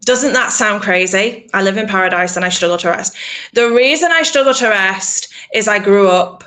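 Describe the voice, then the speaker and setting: Bright-voiced woman, animated and emphatic. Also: medium pitch, moderate pace, low-quality recording. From England. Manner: animated, emphatic, acts out quoted thoughts. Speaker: PR consultant guest. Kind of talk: casual interview conversation.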